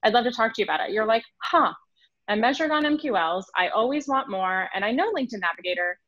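A woman in her thirties, from Switzerland with French as her first language, talking quickly and animatedly as a guest on a podcast interview over a video call. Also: a little high, no room sound. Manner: animated, quick, gestures with hands, acts out emails. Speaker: sales consultant guest. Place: home room on video call. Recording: dull, dry video call audio.

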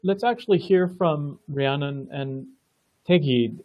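Host on a video call, middle-pitched, halting and filler-heavy, dry dull line. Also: male voice, no echo. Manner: halting, many fillers and restarts. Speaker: meeting host presenting a program. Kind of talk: online planning meeting presentation.

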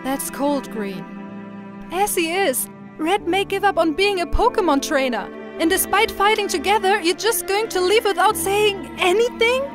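Lady doing earnest young anime girl, high voice, caring and emotional, music going behind. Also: expressive, normal speed. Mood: pleading. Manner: emotional, pleading. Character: earnest, caring young girl Pokemon trainer, easily upset. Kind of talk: dramatic anime-style voice acting.

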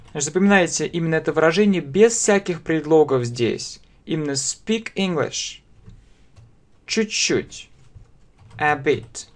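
Very slow Russian-accented male teacher. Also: fairly high pitch. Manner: very slow, spells out phrases word by word and repeats them. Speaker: English teacher. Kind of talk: slow step-by-step language lesson. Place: small room indoors.

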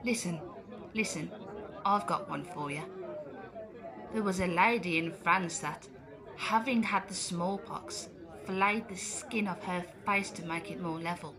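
A woman's voice: fairly low pitch, unhurried, poor audio. A woman playing a sardonic, morbid tavern philosopher, declaiming in a Jacobean audio drama. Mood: mocking. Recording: busy crowd chatter behind.

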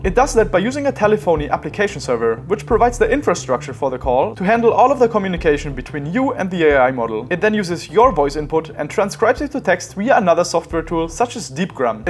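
Fast, animated young man explaining tech. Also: fairly high pitch. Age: 20s. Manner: instructional, addressing the viewer directly. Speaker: presenter of tech explainer videos. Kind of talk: scripted explainer narration.

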